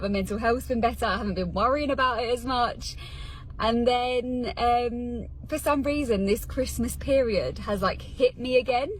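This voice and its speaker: A woman's voice: a little high, a little hiss or hum, poor audio. A thirty-year-old woman with a British accent talking casually and confessionally, as in a personal social media vlog.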